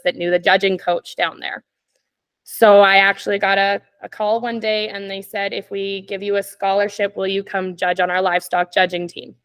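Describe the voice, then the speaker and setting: A woman in her twenties from Canada, a podcast guest telling stories in casual chat, laughing often and filling pauses with "like" and "um". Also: mid-range voice, fairly bright tone, clear, resonant tone. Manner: chatty, laughs often, many "like" and "um" fillers.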